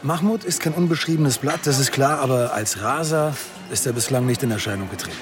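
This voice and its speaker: Radio drama detective, male actor: flippant, colloquial, medium-pitched, music underneath. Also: a little fast, natural voice. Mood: relaxed, assured. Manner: casual, teasing, colloquial. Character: flippant, streetwise male police detective, middle-aged.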